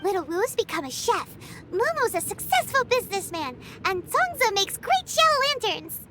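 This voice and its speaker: An actress voicing a tiny, excitable girl companion who answers bluntly. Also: extremely high pitch, heavy background noise. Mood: surprised. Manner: blunt, quick to retort.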